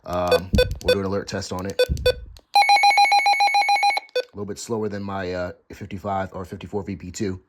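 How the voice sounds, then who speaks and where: An American man giving a casual show-and-tell as a hobby video host, halting and correcting himself as he goes, recorded in a room at home. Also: low-pitched, little pitch movement, loud music behind the voice. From United States. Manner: halting, pausing mid-sentence, self-correcting. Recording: clearly noisy amateur home recording with clicks.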